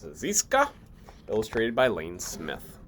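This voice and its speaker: A pastor reading a children's storybook aloud in an expressive style. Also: medium pitch, a little slow, a little hiss or hum.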